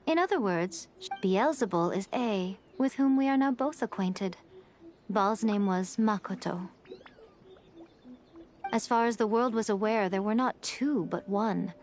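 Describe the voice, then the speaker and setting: A woman's voice: medium pitch, a little slow, darkish tone, lightly acted. A woman playing a sly, elegant shrine priestess in a video game, speaking in a composed, formal and knowingly playful way. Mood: composed, explanatory. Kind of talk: scripted game story dialogue.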